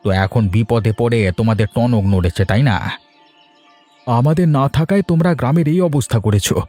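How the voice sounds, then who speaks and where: An actor playing a wronged but forgiving young village fish farmer in a cartoon, emphatic and plain-spoken. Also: male voice, medium pitch, fairly quick, warm tone, soft background music, natural voice. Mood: indignant. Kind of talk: Bengali moral-tale cartoon dialogue.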